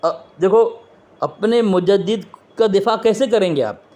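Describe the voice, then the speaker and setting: A man's voice: sits high, unhurried, a little mellow. A man interviewing and debating religion on a phone call, pressing his questions insistently and quoting from books. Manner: quotes from books and scripture.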